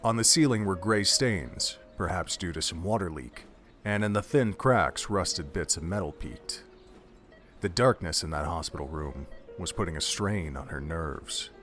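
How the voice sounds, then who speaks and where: A male narrator with a low-pitched voice. He delivers scripted, dramatic prose for an audio drama, told like an audiobook. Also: lively intonation, measured pace, fairly bright tone, soft background music.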